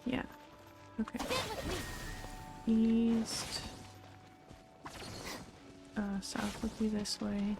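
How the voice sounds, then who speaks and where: A woman's voice: fairly high pitch, completely flat delivery, drawn-out words, vocal fry, long pauses, over music. A woman streaming a game, casually muttering to herself as she works out a puzzle.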